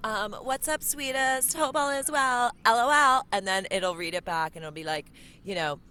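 A woman's voice: on the high side, moderate pace, sharp tone, smooth. A woman guest on a podcast, talking in a chatty, rambling way and laughing often, recorded at home with headphones on. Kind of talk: casual podcast chat. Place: home recording setup in a hallway space. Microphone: studio mic with headphones.

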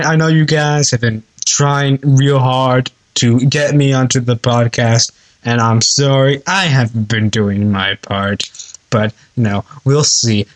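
A man leaving a rambling listener message for a show. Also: medium pitch.

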